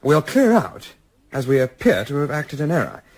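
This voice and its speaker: Young English gentleman adventurer male actor, husky edge, lively intonation, clipped and formal, cool-headed, radio drama. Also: a little high, moderate pace, full character voice. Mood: cool, resolute. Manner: clipped, formal, self-assured. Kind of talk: radio play acting.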